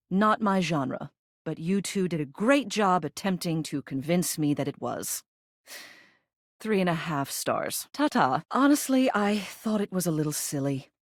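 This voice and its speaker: A woman's voice: fairly low pitch, sing-song, measured pace, no echo, clean studio sound. A woman voicing a video game character, a living ceiling who is a haughty yet fond arts critic, speaking in an arch, theatrical manner. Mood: pleased, appraising. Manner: theatrical, arch, drawling emphasis.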